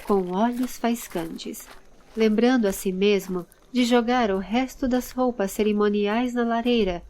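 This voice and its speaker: A woman with a Brazilian accent giving measured, dramatic storytelling narration for a scripted audio drama. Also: on the high side, fairly even intonation, darkish tone. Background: background music.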